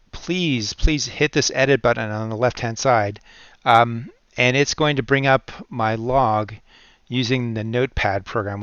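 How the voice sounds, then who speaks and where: An American man giving an informal step-by-step software tutorial, thinking aloud as he works through each step. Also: medium pitch, clean tone, no room sound, muffled recording. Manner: thinks aloud while working through steps. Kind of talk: step-by-step screen-recording tutorial narration, informal.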